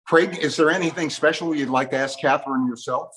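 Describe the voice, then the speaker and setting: A man's voice: a little high, brisk, a bit bright, slight background noise, low-quality recording. A man hosting an interview, posing questions and offering recollections in a conversational way.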